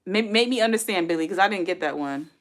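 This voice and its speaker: A woman's voice: fairly low pitch, lively intonation, fast. A woman in her forties hosting a casual livestream from home, reading viewer comments aloud and chatting back with frequent laughs. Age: 40s. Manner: chatty, talks straight to chat, laughs often. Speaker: livestream host.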